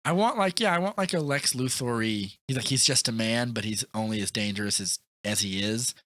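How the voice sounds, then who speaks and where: A man co-hosting a podcast, talking casually about films in an animated, opinionated, rambling way. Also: medium pitch, brisk, dry recording. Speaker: podcast co-host. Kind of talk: casual film discussion.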